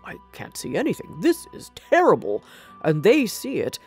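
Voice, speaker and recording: A vain old storybook emperor, acted out by a woman, husky and pompous. His anxious, theatrical speech swings dramatically in pitch, over faint music. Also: wildly swooping pitch, moderate pace, darkish tone. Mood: worried.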